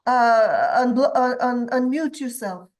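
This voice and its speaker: Woman, panel moderator, fairly high voice, hesitant short cues, video call. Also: takes it slowly. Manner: hesitant, brief cues to speakers.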